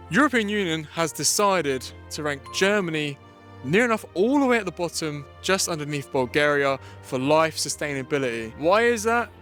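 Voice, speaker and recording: A man's voice: on the high side, a bit bright. Upbeat man presenting a street interview. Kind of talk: presenter narration. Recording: faint music under the voice.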